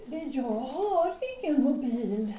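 A woman's voice: high voice, animated, warm tone. A woman playing a wary, stubborn older lady in an audio drama, hesitant and suspicious, repeating herself as she talks. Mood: reluctant. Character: wary, stubborn, anxious older lady guarding her building. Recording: roomy, distant mic with noise.

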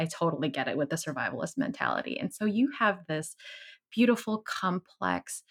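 A middle-aged woman hosting a podcast, speaking in a thoughtful, earnest, measured way. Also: low-pitched. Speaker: podcast host. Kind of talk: casual interview chat.

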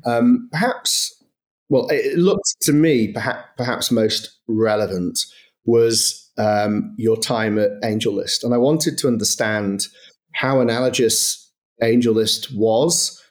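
A man's voice: mid-range voice, slow. A man from Manchester hosting a podcast interview, asking long rambling questions. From Manchester, England. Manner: conversational, hesitant questions with many fillers. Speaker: podcast host.

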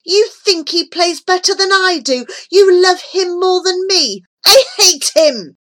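Young storybook grandson, played by a woman: wounded, desperate, impassioned, high and very animated. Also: slow, a little hiss or hum, full character voice. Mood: jealous fury. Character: jealous, anguished young grandson, howling and desperate. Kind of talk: dramatised storybook reading.

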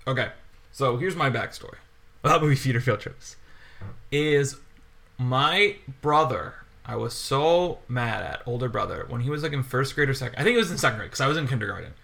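A man's voice: mid-range voice, animated, brisk, gravelly, sharp tone. A young man in casual podcast chat, telling a scattershot story and laughing often. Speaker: podcast co-host.

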